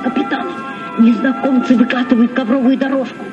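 An actor playing an eager, excitable young captain in a Soviet children's radio play. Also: male voice, extremely high pitch, a little fast, music in the background. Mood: curious. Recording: dull old radio recording.

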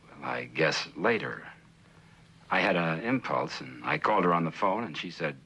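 A reluctant witness in a 1960s television courtroom drama. His voice is extremely low and rough, with a firm, tense edge. He answers carefully, with halting pauses. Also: animated, bright, forceful tone, heavily acted, rough recording. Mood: guarded. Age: middle-aged. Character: guarded, reluctant middle-aged male sculptor on the stand. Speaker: actor as witness.

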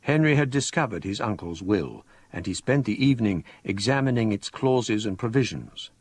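A man acting a grave scholar who narrates a ghost story for radio drama in measured, literary phrases. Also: on the low side, expressive, slightly rough, no room sound. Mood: somber, measured. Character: grave English scholar recounting a ghostly incident.

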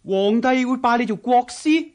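An actor playing a haughty, irritable young Confucian scholar in a radio drama, scornful and challenging, with a Hong Kong Cantonese accent. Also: male voice, very high-pitched, normal speed. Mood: scornful, angry.

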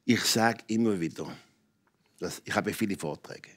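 An older man with a Swiss German accent, telling personal stories as a talk show guest in emphatic, halting phrases with repetitions. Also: lowish voice. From Switzerland. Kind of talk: candid personal storytelling in conversation. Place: TV talk show studio with audience.

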